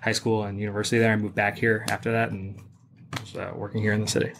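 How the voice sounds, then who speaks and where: A man's voice: lowish voice, level tone, quick pace, vocal fry, background noise. A man from New York and England chatting casually as a podcast guest. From born in New York, grew up in England. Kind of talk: casual chat.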